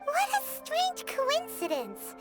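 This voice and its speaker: An actress voicing a tiny childlike fairy companion in an anime-style video game, squeaky, chirpy and full of exclamatory asides. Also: female voice, wildly swooping pitch, slow pace, over loud music, heavily acted. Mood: amazed, wry.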